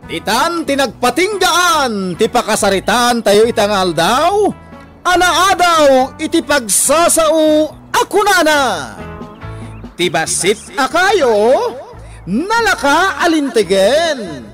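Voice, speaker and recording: Male radio drama announcer, Filipino accent, slow theatrical delivery with sweeping pitch over music. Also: big pitch swings, fairly bright tone. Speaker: programme announcer. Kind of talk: scripted dramatic radio introduction.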